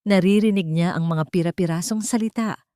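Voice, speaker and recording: A woman's voice: fairly low pitch, a little fast, dark tone, smooth, no echo, studio quality. A woman reading scripted, dramatic story narration.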